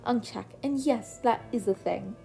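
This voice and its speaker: A woman voicing a playful woman teacher who teases her chess rival, with a mellow voice. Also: a little high, a little slow, creaky, faint music behind, rough recording. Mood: teasing. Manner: teasing, amused.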